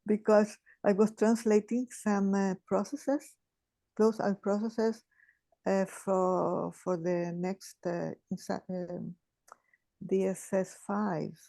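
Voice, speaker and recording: A woman, sharing in a group call in a halting, thoughtful way with many restarts. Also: medium pitch, little pitch movement, vocal fry, warm tone, no echo. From Chile. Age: 80s. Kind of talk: casual sharing on a video call.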